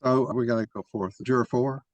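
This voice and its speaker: A man's voice: mid-range voice, steady tone, fairly quick, no echo, lo-fi sound. A man moderating a mock trial discussion and arguing his own view as he goes. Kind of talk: argumentative discussion.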